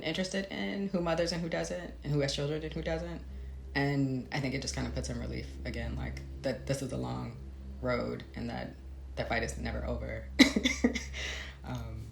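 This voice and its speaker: An American woman with a very deep voice and a gravelly fry, speaking as an author in an interview. She is informal and reflective, feeling her way through her thoughts in a casual chat style. The room echoes noticeably around her. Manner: informal, hesitant, laughs often, frequent "like" and "you know". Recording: roomy echo, faint background noise.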